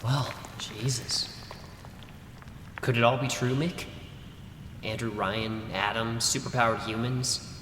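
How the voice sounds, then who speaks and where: An actor playing an awed, doubtful sidekick with a hesitant manner in a radio drama. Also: male voice, steady tone, takes it slowly, pauses often, a bit bright. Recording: echoey hall with faint rumble.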